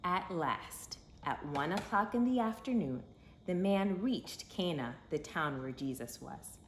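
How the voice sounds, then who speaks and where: A woman with a lowish voice reads a children's storybook aloud. Her delivery is slow, expressive and dramatic, with lively swings of pitch. It is recorded in an echoey room. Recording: echoey room with faint background noise.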